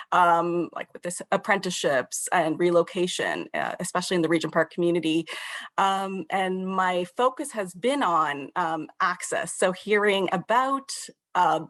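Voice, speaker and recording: A middle-aged woman giving professional panel remarks in a halting, measured way. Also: on the low side, fairly bright tone. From Canada, born in Tanzania. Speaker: panelist, inclusion executive.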